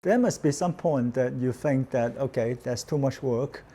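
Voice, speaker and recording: Male host, mellow mid voice, polite and a little halting in his questions. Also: mid-range voice, husky. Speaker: interviewer and host. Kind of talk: scripted narration and interview questions.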